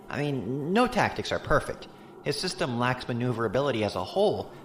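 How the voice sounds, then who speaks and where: An actor playing a candid, informal tactical analyst in a science fiction audio drama, explaining things casually. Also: male voice, mid-range voice, slight background noise, close to an everyday voice. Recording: echoey room, faint background ambience.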